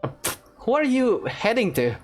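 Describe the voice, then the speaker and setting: Game streamer with hugely swinging pitch. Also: sing-song, moderate pace, music in the background. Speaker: gaming streamer. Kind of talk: casual gameplay reaction commentary.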